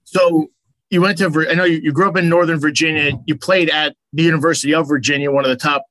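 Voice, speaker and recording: A man's voice: fairly high pitch, steady tone, quick pace, fairly bright tone, no room sound. A man interviewing a baseball coach, asking conversational, leading questions. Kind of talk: sports interview.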